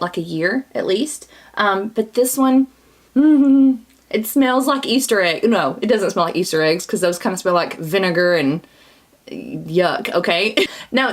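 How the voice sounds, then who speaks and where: A woman, chatting bubbly through a makeup tutorial while her voice is scratchy from a cold. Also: mid-range voice. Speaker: beauty vlogger. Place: small home studio, indoors.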